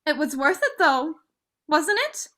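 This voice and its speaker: Woman acting a shrill, hot-headed jilted young woman. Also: expressive, measured pace, bright, forceful tone, exaggerated voice. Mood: hurt, demanding. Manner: outraged, shrill outbursts. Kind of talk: staged play reading.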